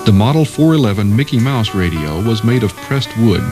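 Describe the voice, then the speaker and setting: Low-pitched man narrating over music. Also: expressive, normal speed. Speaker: documentary narrator. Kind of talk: scripted narration. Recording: music under the voice.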